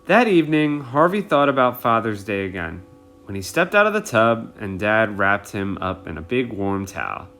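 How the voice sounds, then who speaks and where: Guy reading a kids book out loud, really animated, bit of music playing. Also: on the low side, normal speed. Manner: animated, addresses young listeners. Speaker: read-aloud storyteller. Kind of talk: expressive children's picture book reading.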